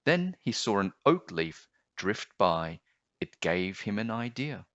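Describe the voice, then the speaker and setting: A man narrating a children's storybook aloud in a measured, expressive storytelling manner. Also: on the low side, no echo, muffled recording.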